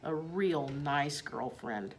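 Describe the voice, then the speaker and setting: A woman's voice: sits low, expressive, slow, a little hiss or hum, voice pushed down, full character voice. A woman voicing an affectionate, chatty, lonely male night cleaner in a children's storybook. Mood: wistful. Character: friendly lonely man, night cleaner fond of a hamster.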